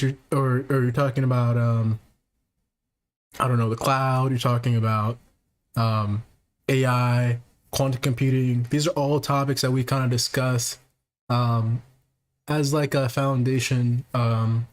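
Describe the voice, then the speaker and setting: A man of middle pitch talks straight to his viewers in a soft, low-key voice. He stops and restarts often and fills his pauses with "um" and "right?". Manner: soft-spoken, halting, many fillers and restarts. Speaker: podcast host; technician. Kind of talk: casual monologue to viewers.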